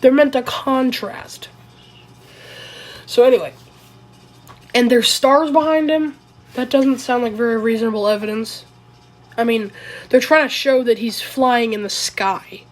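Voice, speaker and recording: Sick, raspy woman, slow and rambling. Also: fairly high pitch, fairly even intonation. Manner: rambling, hesitant, self-correcting. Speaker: video creator. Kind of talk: casual commentary video.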